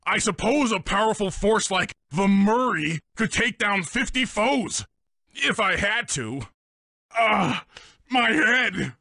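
A man's voice: high voice, expressive, slow pace, sharp tone, no echo, clean studio sound, heavily acted. A man playing a big, dim but loyal cartoon hippo strongman in a video game, boastful and childlike, with bombastic delivery. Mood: earnest. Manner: bombastic, speaks of himself in third person.

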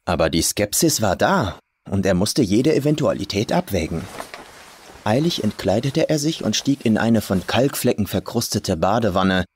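Guy reading a creepy horror story, deepish voice, very expressive, deliberate storytelling. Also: slight background noise. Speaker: professional voice actor, audiobook reader. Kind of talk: scripted horror story narration. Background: atmospheric music.

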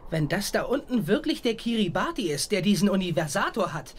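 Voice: medium pitch, very animated, fairly quick, breathy edge. An actor playing a practical, puzzled young boy companion in a children's audio drama. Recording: faint ambience behind.

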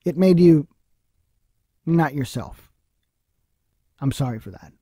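Male actor as a troubled middle-aged husband, mellow, slightly high pitch, halting, audio drama. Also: animated, husky, no room sound, clean studio sound, heavily acted. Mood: remorseful. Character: remorseful, troubled husband and father. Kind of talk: naturalistic audio drama acting.

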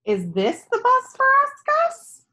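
An eager little girl from a storybook, as a woman reader puts her on. Her voice is high and swoops upward in sing-song questions, full of hopeful excitement. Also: takes it slowly, heavily acted. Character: eager little girl waiting for the school bus.

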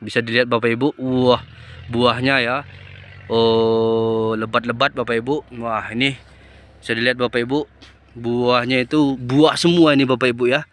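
A man's voice: mid-range voice, level tone, normal speed, fairly bright tone. A man, hosting a casual farming vlog outdoors and hesitantly addressing viewers. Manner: hesitant, repeatedly addressing the audience. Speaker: farming channel host. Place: outdoors in a chili field. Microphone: phone at arm's length.

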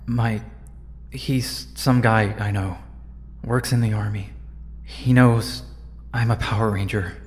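A man playing a guarded young superhero in an audio drama, halting and defensive in delivery. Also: on the low side, fairly even intonation, in a large hall. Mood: hesitant.

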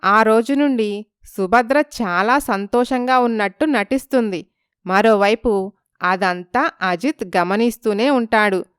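A woman's voice: fairly high pitch, unhurried, clean tone, no room sound, clean studio sound. A woman narrating a scripted dramatic story as its storyteller.

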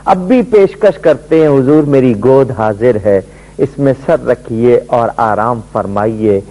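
A middle-aged man and religious scholar giving a devotional lecture on a television studio set, telling a story emphatically with his voice swooping high and low. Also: animated, dark tone, low-quality recording.

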